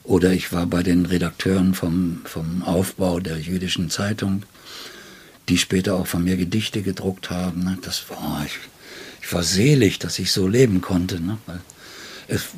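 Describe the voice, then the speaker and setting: A man's voice: deep voice, steady tone, measured pace, breathy edge. An older man, a poet being interviewed, speaking in a reflective way. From Germany. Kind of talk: reflective interview talk and poetry reading.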